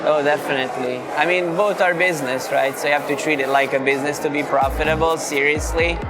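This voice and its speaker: Relaxed rambling man over loud music. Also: fairly high pitch, brisk, a loud crowd, rough recording. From Canada. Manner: relaxed, rambling, many "you know"s. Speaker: guest, affiliate marketer. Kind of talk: conference interview.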